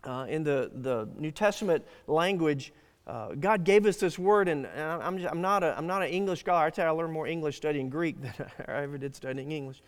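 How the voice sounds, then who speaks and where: A man's voice: a little high, a little fast. A man preaching a sermon as pastor, earnest and emphatic, often restarting his phrases. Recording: long hall reverb.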